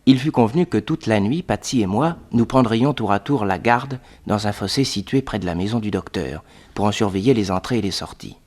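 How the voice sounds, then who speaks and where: A sidekick narrating, steady, lowish voice. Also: male voice, a little fast. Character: young male sidekick narrating. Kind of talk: scripted narration.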